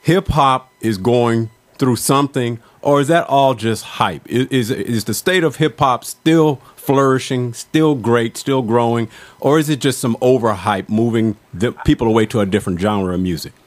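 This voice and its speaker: Male interviewer, average pitch, conversational and slangy, takes a winding route into each question. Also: moderate pace. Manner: slangy, rambling lead-ins to questions. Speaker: talk show host, interviewer.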